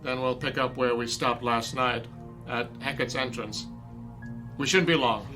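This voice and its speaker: A man's voice: mid-range voice, fairly even intonation, unhurried, lightly acted. An actor playing a demanding male theater director who gives commanding, clipped orders in a radio drama. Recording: radio drama with faint music.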